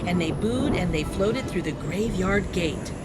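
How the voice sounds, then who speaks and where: A woman reading a children's picture book aloud in an animated, sing-song storytelling manner. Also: on the low side, unhurried, heavy background noise. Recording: music playing behind the voice. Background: music.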